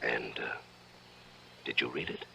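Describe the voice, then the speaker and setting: An actor playing a patronizing, coaxing middle-aged judge who hides murderous urges, in old-time radio drama style. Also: male voice, very deep voice, steady tone, unhurried, creaky, pushed and bright, slight background noise, exaggerated voice. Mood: guarded.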